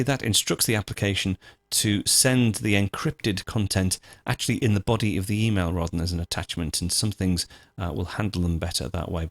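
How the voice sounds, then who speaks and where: Low-voiced man narrating a lively tutorial. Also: creaky, no room sound, studio quality. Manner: explanatory, methodical, informal. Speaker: host of a software tutorial show. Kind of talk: screencast tutorial narration, conversational step-by-step.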